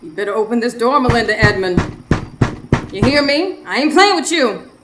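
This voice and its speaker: A woman's voice: a little high, expressive, moderate pace, close to an everyday voice. A woman playing a sassy, superstitious Southern woman in a live radio drama, narrating her own confrontation dramatically. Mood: wary, bold. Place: studio before live audience. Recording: roomy live stage, faint noise.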